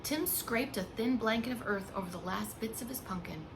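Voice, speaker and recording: A woman with a medium-pitched voice reads a children's story aloud in the manner of a preschool teacher. She tells it expressively, dropping into hushed emphasis and speaking simply and directly to little listeners. There is clear background noise on the recording. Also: moderate pace. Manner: simple explanations and questions addressed to small children. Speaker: pre-K teacher. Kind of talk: read-aloud of a children's picture book with chatty asides to young listeners.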